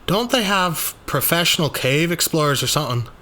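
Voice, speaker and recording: Audio drama role: skeptical small-town police chief, male man performing, blustering and condescending, loud forceful delivery. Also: on the high side, animated, normal speed, voice pushed up. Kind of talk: dramatized audio journal, character dialogue.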